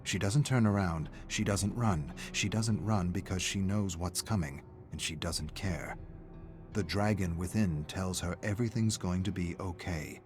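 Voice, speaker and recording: A middle-aged man narrates a dramatic story in a deep voice. His delivery is measured and theatrical, with faint music running underneath.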